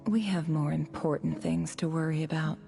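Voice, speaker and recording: Smug female envoy for a game: lowish voice, formal, condescending, quietly threatening, music underneath. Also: steady tone, normal speed, gravelly. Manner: formal, condescending, silky menace. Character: smug, scheming woman posing as a haughty ambassador. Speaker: game voice actor. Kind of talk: dramatic video game voice acting.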